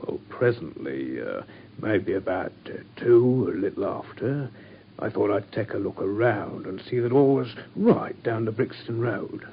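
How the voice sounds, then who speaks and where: Gruff police constable in a radio play, hesitant and rambling, dull lo-fi sound, faint hiss. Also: male voice, lowish voice, expressive, measured pace. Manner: hesitant, rambling, full of uhs. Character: gruff working-class London police constable, middle-aged. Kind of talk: radio drama.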